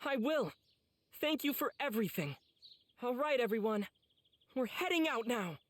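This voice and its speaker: A woman's voice: a little high, expressive, moderate pace, natural voice. A woman playing an earnest, kind-hearted teenage boy demon slayer in an anime game, polite and eager. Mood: grateful.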